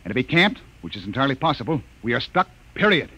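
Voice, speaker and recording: An actor playing a stern, harried spaceship captain in old-time radio science fiction, with clipped, commanding delivery. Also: male voice, mid-range voice, sing-song, quick pace, sharp tone, husky, exaggerated voice. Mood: exasperated. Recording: dull, noisy old radio recording.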